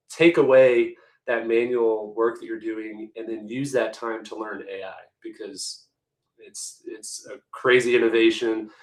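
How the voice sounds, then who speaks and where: Male webinar presenter, hoarse, chatty and conversational, echoey room. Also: medium pitch. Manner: chatty, conversational, many restarts. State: hoarse, losing his voice. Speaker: webinar presenter, executive assistant.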